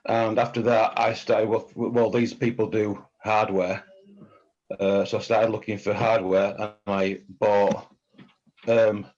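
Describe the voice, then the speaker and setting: A man chatting on a video call in a halting way, often repeating words as he tells his story. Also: fairly low pitch, darkish tone, dull audio. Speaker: community member. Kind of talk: casual video call chat.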